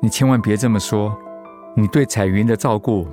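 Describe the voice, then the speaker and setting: A man's voice: on the low side, normal speed, mellow, faint music behind, lightly acted. A man acting in a radio drama as a humble, anguished pastor and devoted husband, speaking in a heartfelt, sighing way. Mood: resigned, grateful.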